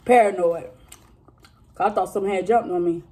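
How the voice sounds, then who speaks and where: A woman in her thirties chatting casually with her viewers during a mukbang eating video, talking straight to them with drawn-out exclamations between bites. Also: fairly low pitch, darkish tone. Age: 30s. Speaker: vlogger.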